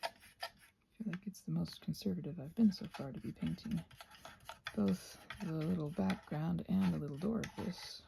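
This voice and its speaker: A soft-spoken woman chatting casually and musing aloud as a craft vlogger, often slipping toward a whisper and gently reassuring herself. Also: fairly low pitch, normal speed, gravelly, background noise. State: short on sleep. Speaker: craft vlogger and ASMR host. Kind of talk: casual rambling craft chat.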